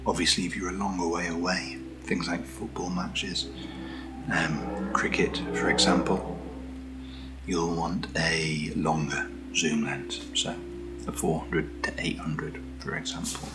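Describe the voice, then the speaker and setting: A British man speaking softly in a breathy near-whisper, giving an informal, slightly hesitant ASMR product walkthrough as a keen enthusiast. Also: sits low, unhurried, over loud music, low-quality recording. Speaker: ASMR host. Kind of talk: soft-spoken ASMR product unboxing.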